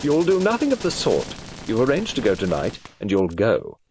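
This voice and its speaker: A man's voice: medium pitch, huge pitch swings, normal speed, background noise, voice pushed up. A man voicing a cold, imperious old woman, clipped and stern, in an audiobook.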